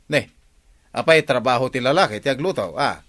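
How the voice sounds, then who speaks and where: A man's voice: medium pitch, lively intonation, brisk, a bit bright, no room sound, studio quality, natural voice. Man acting a blustering village gambler husband, swooping voice. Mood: scolding. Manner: blustering, complaining. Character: quarrelsome gambling village husband and father, stubborn and lazy. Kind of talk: Ilocano comedy radio drama acting.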